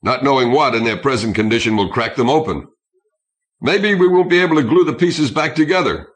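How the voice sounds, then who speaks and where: A man reading an audiobook, voicing a stern, forceful ship's constable with a terse, commanding manner. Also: medium pitch, brisk, voice pushed up, lightly acted. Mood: firm, urgent. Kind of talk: audiobook character acting.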